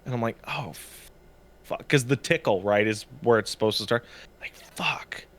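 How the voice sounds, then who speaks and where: A man co-hosting a podcast in casual chat, sniffly, telling stories in an animated way with lots of swearing. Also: medium pitch, normal speed. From Canada. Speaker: podcast co-host.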